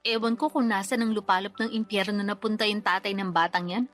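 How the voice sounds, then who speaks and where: A woman's voice: medium pitch, steady tone, fairly quick, a bit bright, faint music behind, close to an everyday voice. An actress playing a worn-out, resentful young single mother in a radio drama, with a plaintive, complaining manner. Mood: bitter, aggrieved. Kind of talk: Tagalog radio drama acting.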